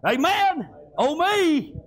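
A male revivalist preacher delivers a fervent sermon. His voice sits extremely high for a man as he exhorts and shouts, dropping amen and praise God between phrases. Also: big pitch swings, slow.

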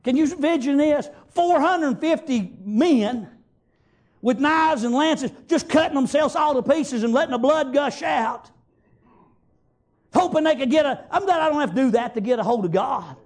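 High-pitched Southern US preacher man. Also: lively intonation, normal speed. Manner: folksy, exclamatory, repeats praise God and amen as punctuation. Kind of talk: fervent extemporaneous sermon with Bible reading and retelling.

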